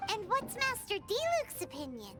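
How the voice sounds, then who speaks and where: A woman's voice: extremely high pitch, normal speed, full character voice. A woman voicing a small childlike fairy companion in an anime video game, chirpy, cheeky and inquisitive, with exaggerated expression. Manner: exaggerated, expressive, refers to self in third person. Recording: loud music behind.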